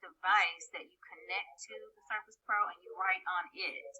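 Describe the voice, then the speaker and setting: A woman's voice: mid-range voice, steady tone, moderate pace, pushed and bright, slightly rough. A woman teaching math, helpfully offering a colleague tips during a staff video call. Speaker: math instructor. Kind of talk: casual staff meeting over video call. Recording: muffled video call audio.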